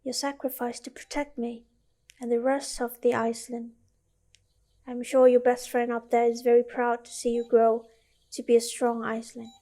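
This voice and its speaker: A woman's voice: fairly high pitch, little pitch movement, normal speed, close to an everyday voice. A young woman with an Indonesian accent playing a sincere, newly recruited student in an amateur radio drama. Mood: sympathetic. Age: young adult. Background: loud music.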